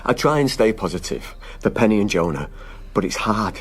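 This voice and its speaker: A man's voice: lowish voice, sing-song, moderate pace, breathy edge. An actor playing a weary, anguished husband and father in a naturalistic radio drama. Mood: subdued, despairing.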